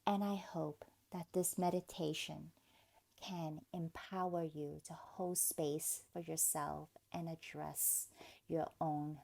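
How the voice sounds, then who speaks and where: A woman guides a meditation very slowly, with a lot of creak in her voice. Her pitch sits on the low side for a woman. She speaks gently and deliberately, leaving space between short phrases. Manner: soothing, gentle, deliberate, with pauses. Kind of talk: guided meditation, scripted narration.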